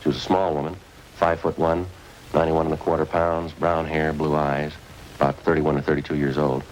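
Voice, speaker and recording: A terse, very deep-voiced police detective. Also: male voice, level tone, a little fast, a little mellow, a little hiss or hum, rough recording. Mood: businesslike. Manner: clipped, matter-of-fact. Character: terse male police detective sergeant, narrator. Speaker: detective narrator. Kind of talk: 1950s radio crime drama. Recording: old radio broadcast with music underneath.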